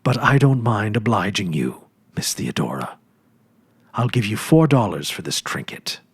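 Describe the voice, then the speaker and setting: A man voicing a brisk, businesslike male shopkeeper in a storybook reading. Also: on the low side, big pitch swings, slightly rough, dry recording, heavily acted.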